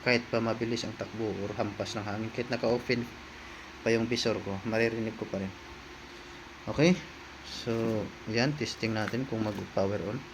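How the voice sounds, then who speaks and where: Filipino man, vlogger, flat intonation, casual unboxing style, repeats himself, clearly noisy recording. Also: on the low side, moderate pace. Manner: informal, repetitive, talking to viewers as he handles items. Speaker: vlogger, product reviewer. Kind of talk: casual unboxing and hands-on review.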